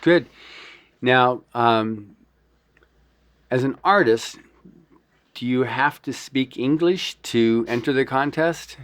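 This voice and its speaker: A man's voice: medium pitch, slow pace, pauses often, dry recording. A man hosting a podcast, asking casual questions with easygoing fillers. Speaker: podcast host. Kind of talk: casual interview.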